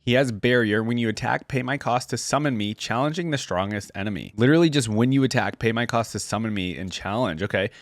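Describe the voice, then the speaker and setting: A man's voice: mid-range voice, quick pace, few pauses, no echo. Man giving casual, chatty commentary, dry close sound. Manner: chatty, informal, thinking aloud with hedges. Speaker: gaming reaction video host. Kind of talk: casual reaction commentary, reading game text aloud.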